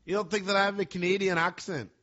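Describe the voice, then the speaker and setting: A man hosting sports talk radio, brash and joking with a lively delivery. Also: sits high, fast, dull audio, noisy background. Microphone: studio mic.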